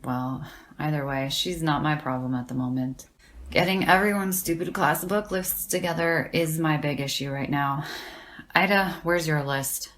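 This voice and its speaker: Sardonic academic woman, low pitch, dry, blunt, deadpan, audio drama. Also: moderate pace. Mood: defensive, irritated.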